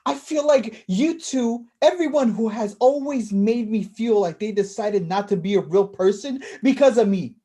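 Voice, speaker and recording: A woman's voice: on the high side, normal speed, few pauses, warm tone, natural voice. A woman playing a wounded, defensive, self-blaming younger brother in a naturalistic staged play reading. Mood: hurt, bitter.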